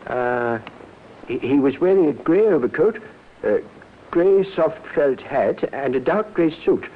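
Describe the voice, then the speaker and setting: Nervous, eager witness for radio crime drama: halting delivery, narrow phone-like sound, clear hiss. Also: male voice, a little high, animated. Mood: hesitant, recalling. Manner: hesitant, stammering, halting. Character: nervous, short male witness, hesitant and eager to help. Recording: muffled, noisy old radio drama recording.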